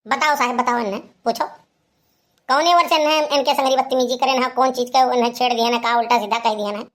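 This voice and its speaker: Woman doing cartoon village boy, cheeky, high pitched, awadhi accent, always protesting. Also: fairly even intonation, quick pace, close to an everyday voice. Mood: protesting innocence. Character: cheeky, carefree young village man who loves singing. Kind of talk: cartoon comedy dialogue.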